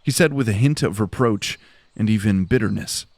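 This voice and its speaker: Low-voiced male fantasy narrator. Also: normal speed, dry recording. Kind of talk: dramatic scripted fantasy narration.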